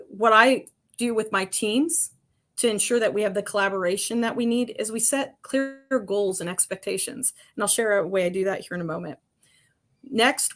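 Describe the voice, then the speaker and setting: A woman with a commanding voice, answering interview questions in an emphatic, lively way. She sits mid-range in pitch and laughs lightly between her points. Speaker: construction industry guest.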